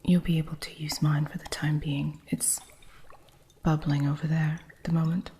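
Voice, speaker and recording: A woman playing a female witch shopkeeper who teaches an apprentice, in a soft whisper with dry humor, for an ASMR roleplay. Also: low voice, flat delivery, moderate pace, slight background noise. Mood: hushed.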